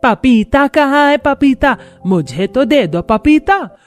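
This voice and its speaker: A woman's voice: high-pitched, lively intonation, soft background music, voice pushed up. A woman voicing a muddled, insistent old aunt who is hard of hearing and drags out repeated words. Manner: drawn-out, repeating misheard words.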